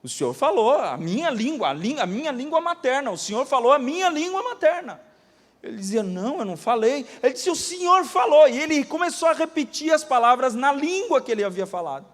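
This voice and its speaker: A male pastor with a Brazilian Portuguese accent, preaching a sermon and telling testimonies dramatically, swinging between loud bursts and hushed asides. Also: very high voice, big pitch swings, fairly quick, long reverb tail. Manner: dramatic storytelling, acting out quoted voices, swinging from loud to hushed. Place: church.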